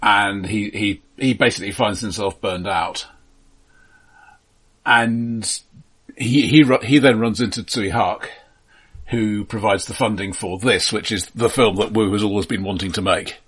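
A man explaining films in casual discussion, halting and often repeating words as he builds a point. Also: lowish voice, a bit bright, slightly rough. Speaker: film podcast host.

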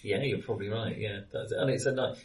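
Low-voiced man thinking aloud. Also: fast, far from the mic. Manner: hesitant, thinking aloud, self-correcting. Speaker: podcast co-host. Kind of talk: casual film discussion.

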